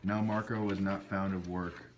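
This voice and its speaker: Deep male voice, scripted storybook narration, puppet show, slightly noisy amateur recording. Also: flat delivery, normal speed.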